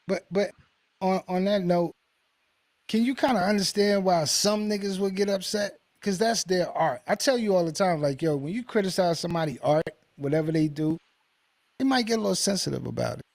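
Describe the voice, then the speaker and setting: A man's voice: high-pitched, brisk, vocal fry, darkish tone, no room sound. A man co-hosting a podcast, laid-back and casual, asking questions and pushing back on the host's points. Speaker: podcast co-host.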